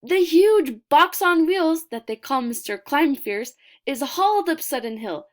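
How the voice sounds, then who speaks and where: A woman with a slightly high voice narrates a children's storybook. She takes her time, very unhurried and deliberate. Her expressive reading uses emphasis and pauses for drama. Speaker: picture book reader.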